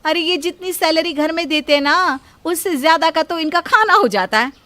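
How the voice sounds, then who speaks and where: Woman acting a shrill, scornful daughter-in-law. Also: normal speed, sharp tone, no echo. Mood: scornful nagging. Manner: taunting, complaining. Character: sharp-tongued, scornful young daughter-in-law.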